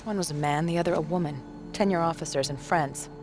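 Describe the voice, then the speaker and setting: A woman's voice: sits low, quick pace, over music, natural voice. Woman playing a stern, clipped paramilitary commander. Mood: curt, guarded. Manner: clipped, authoritative, cold. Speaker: militia commander. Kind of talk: video game cutscene acting.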